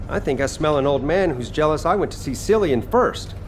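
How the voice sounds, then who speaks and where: An actor playing a cocky, playful fire-wielding cook and fighter in a video game, with a flippant, teasing way of talking. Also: male voice, a little high, animated, fairly quick, noisy background, close to an everyday voice. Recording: loud game music behind the voice.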